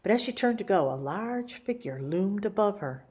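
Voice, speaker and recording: A woman reading a children's picture book as a story-time host, with theatrical, deliberate emphasis. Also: medium pitch, lively intonation, a little mellow. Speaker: library story-time reader.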